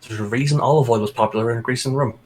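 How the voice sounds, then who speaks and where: Joking man co-player, mid pitch. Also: racing, a little mellow, husky. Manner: dry, joking, laughs often. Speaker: co-player on a game stream. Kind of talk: casual let's play banter.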